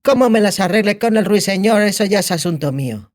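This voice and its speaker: A man's voice: high-pitched, lively intonation, fairly quick, pitched above the performer's own voice. A man voicing a brash, streetwise young bandit girl with a defiant, slangy manner in a fantasy audiobook. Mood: cocky. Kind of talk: audiobook character voice.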